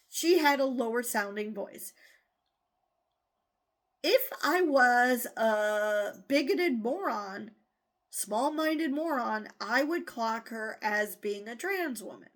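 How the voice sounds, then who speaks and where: A woman's voice: on the high side, fairly bright tone. A woman hosting a casual news commentary show, blunt and exasperated, swearing freely and rambling through asides and restarts.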